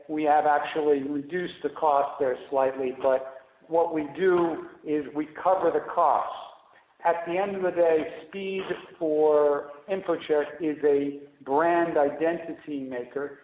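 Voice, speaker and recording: A man speaking as a company executive on a conference call, explaining things off the cuff in a halting way with repeated and restarted phrases, over a phone line. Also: fairly high pitch, fairly even intonation, a little hiss or hum. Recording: narrow tinny phone line, roomy echo.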